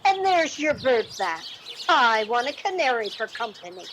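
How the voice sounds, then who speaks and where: A woman playing an indignant, fussy lady customer in a radio play, complaining in a haughty, drawn-out way. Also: fairly high pitch, big pitch swings, fairly bright tone, slight background noise.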